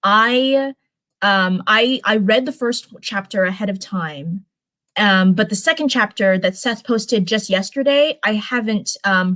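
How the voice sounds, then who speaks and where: A woman hosting a live reading stream, moving between casual, chatty talk and storybook narration. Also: medium pitch. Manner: chatty, animated.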